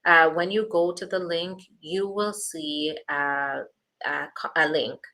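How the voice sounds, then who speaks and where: Jamaican lady lawyer doing a live q&a, low but bright voice, lots of ums. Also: steady tone, slow. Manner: chatty, reassuring, with many fillers. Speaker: immigration attorney. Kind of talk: live Q&A reading viewer questions.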